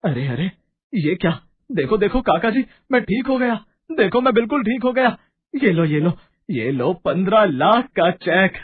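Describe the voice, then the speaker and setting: A man's voice: a little high, animated, unhurried, husky, no echo. A man voicing a rich, whining, hot-tempered merchant in a dramatized story, theatrical and blustering. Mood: overjoyed. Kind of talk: dramatized Hindi moral story acting. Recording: dull, muffled audio under music.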